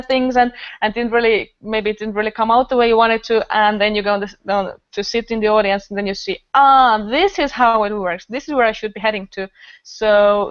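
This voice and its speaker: Woman, smooth clear voice, enthusiastic, casual podcast talk, dull lo-fi recording. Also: medium pitch, no echo. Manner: enthusiastic, rambling. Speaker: festival organiser, podcast guest.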